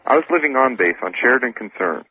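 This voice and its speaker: An American man answering interview questions as a radio guest, husky, polite and matter-of-fact. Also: mid-range voice, expressive, fast, no echo. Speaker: radio show guest, ex-soldier. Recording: tinny phone line on radio.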